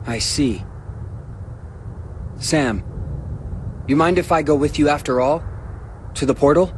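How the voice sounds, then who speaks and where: A man's voice: on the high side, slow pace, slight background noise. An actor playing a self-assured, guarded teenage boy in a video game, with a dry, laconic manner. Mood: reserved. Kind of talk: cinematic video game acting. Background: music.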